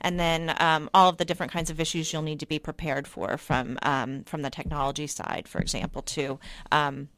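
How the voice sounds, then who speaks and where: A woman's voice: low-pitched, little pitch movement, a little fast, fairly bright tone, no echo. A woman, a state director of an advocacy group, explaining programs as a radio guest in an earnest way with many ums.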